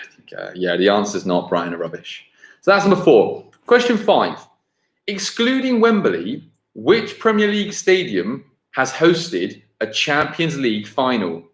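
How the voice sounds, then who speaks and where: A man hosting a live-streamed football quiz, repeating questions and chatting casually with viewers. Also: lowish voice. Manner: repeats each question slowly and clearly, chats to viewers. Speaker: quiz host. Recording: roomy, echoing home livestream sound.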